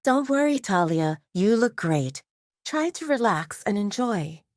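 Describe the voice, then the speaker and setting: A woman's voice: medium pitch, big pitch swings, measured pace, no room sound, studio quality. A woman voicing a confident, friendly young woman, an encouraging best friend in an audio drama. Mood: reassuring. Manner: encouraging, sociable.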